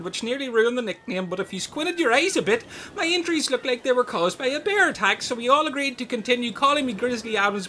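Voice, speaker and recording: A man playing an excitable, easily hurt castaway in a comedy radio play, with a rambling, earnest delivery. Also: extremely high pitch, a little hiss or hum, full character voice.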